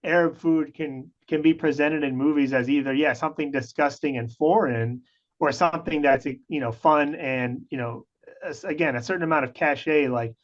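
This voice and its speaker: Slightly high man on a dull line. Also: moderate pace. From United States (Pennsylvania). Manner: thoughtful, explanatory, hesitant restarts. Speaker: guest, researcher. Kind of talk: casual radio interview.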